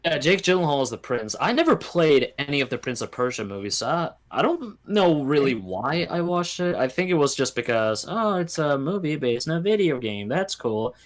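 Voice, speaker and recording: A man's voice: on the high side, sing-song, moderate pace, hardly any pauses. A man chatting casually as a guest on a podcast. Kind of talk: casual chat.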